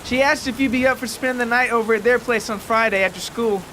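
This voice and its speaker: A man voicing a kindly mother with a gentle manner in a storytelling podcast. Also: very high-pitched, quick pace, slight background noise, pitched above the performer's own voice. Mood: gentle, motherly. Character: kindly mother of a young boy.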